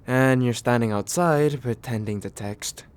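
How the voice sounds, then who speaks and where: Slow, hesitant man acting a nervous, kind date. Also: medium pitch, smooth, lightly acted. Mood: shy, pleased. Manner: hesitant, soft-hearted, self-deprecating, halting with small pauses. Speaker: ASMR roleplay voice actor. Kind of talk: ASMR comfort roleplay, acted as casual chat.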